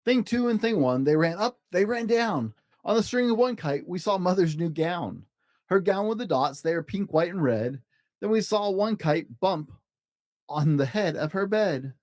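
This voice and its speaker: Male storybook reader, highly animated pitch, emphatic rhymes, dry sound. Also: normal speed, no echo. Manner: expressive, emphatic rhyming delivery. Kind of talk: animated children's story read-aloud.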